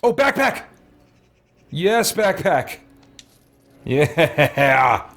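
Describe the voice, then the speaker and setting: A man's voice: a little high, very animated, drawn-out words, fairly bright tone. A man giving casual let's play commentary as a video host, thinking aloud with muttered asides and exclamations.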